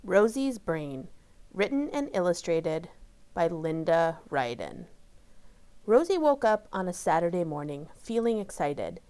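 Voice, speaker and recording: A woman reading aloud to children in a careful, deliberate storytelling manner. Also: lowish voice, big pitch swings, darkish tone, clean tone. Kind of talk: children's read-aloud narration.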